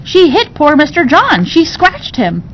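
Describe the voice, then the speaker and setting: A woman's voice: huge pitch swings, a little slow, fairly bright tone, exaggerated voice. A woman reading a storybook, voicing a female servant who reproaches and advises a child. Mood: indignant. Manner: admonishing. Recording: dull recording with low rumbling noise.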